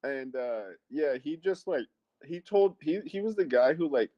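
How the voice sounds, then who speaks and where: A man's voice: a little high, lively intonation, a little slow, no room sound, lo-fi sound. Young man rambling with lots of "like". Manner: rambling, heavy use of "like". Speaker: chat participant. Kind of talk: casual voice chat.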